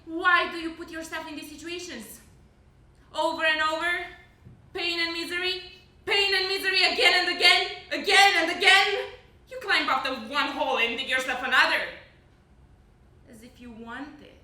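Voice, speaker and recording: A woman's voice: extremely high pitch, fairly even intonation, normal speed, exaggerated voice. A young woman acting a domineering, evasive boss in an intense stage drama, commanding and coldly threatening. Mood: scornful. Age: young adult. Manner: commanding, repetitive, forceful. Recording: echoey stage, slight background noise.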